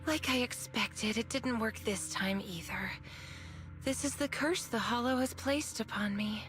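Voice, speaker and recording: Drowsy, high-voiced, resigned young woman. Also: a little slow, a bit bright. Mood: gloomy. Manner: drowsy, fatalistic. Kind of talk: English video game voice acting. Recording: faint music behind.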